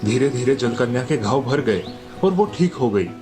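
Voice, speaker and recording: Male narrator, scripted storytelling, medium pitch, clear background music. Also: expressive, normal speed.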